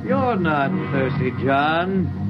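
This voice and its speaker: Very slow, sinister Martian impostor. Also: male voice, fairly high pitch, big pitch swings, full character voice. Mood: quiet menace. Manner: slow, drawn-out menace. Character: sinister Martian posing as a man's brother. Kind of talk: 1950s radio drama acting. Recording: dull 1950s radio recording, music behind.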